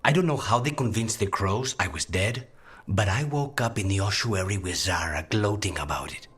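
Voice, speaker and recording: An actor playing a weary, dry, demon-possessed assassin in a video game, low and breathy, speaking in a measured, understated way. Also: male voice, lively intonation, a bit bright. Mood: grim, vengeful. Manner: measured, understated, dryly menacing. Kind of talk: video game dialogue acting.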